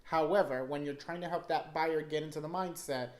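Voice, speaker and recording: A man in his thirties co-hosting a casual advice chat, animated and joking, quick to laugh. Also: on the high side, reverberant. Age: 30s. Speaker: real estate agent, co-host. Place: indoor room set, seated at a table.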